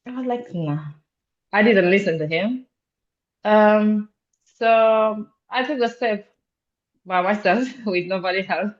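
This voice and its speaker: A woman's voice: medium pitch, slow, long pauses. A woman in her twenties from Romania, not a native English speaker, talking earnestly as a podcast guest with many hesitations. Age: 20s. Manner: earnest, hesitant with many ums, laughs often. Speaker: guest, security officer. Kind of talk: casual interview chat. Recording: dull lo-fi sound.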